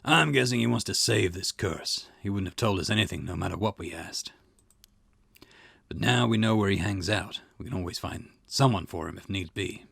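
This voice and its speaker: A man voicing a seasoned, brusque and wry detective boss in a visual novel, with a gravelly, measured delivery. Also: sits low, fairly bright tone, dry recording, studio quality, heavily acted. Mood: dry, matter-of-fact. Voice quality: gravelly, husky. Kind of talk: reading visual novel dialogue in character.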